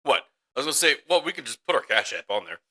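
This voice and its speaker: A man co-hosting a laid-back podcast with his brother, talking in a loose, rambling way and laughing often. His voice sounds very bright. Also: mid-range voice, sing-song, fast. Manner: rambling storyteller, laughs a lot, acts out voices in anecdotes. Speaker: podcast co-host. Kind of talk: casual chat between brothers.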